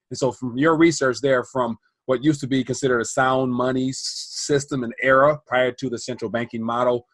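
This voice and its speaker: A man's voice: mid-range voice, no room sound. A man hosting a finance interview, asking long, rambling questions. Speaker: interview host. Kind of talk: podcast interview.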